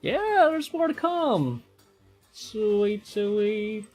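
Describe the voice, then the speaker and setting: A man's voice: high-pitched, expressive, drawn-out words, darkish tone, faint music behind. A man streaming a visual novel, switching between casual commentary and reading its text aloud.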